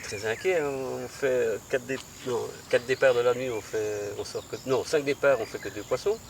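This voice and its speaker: A man's voice: mid-range voice, normal speed. A man with a Belgian French accent, an angler answering interview questions by a lake, hesitant with many fillers. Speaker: angler competitor. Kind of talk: casual interview. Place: outdoors by a lake. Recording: distant mic, crickets and nature noise. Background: crickets and rural ambience.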